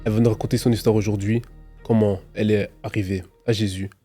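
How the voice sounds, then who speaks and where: A man hosting an interview, introducing his guest and asking questions in a calm style. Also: fairly low pitch, fast talker, faint music behind. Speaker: host, interviewer.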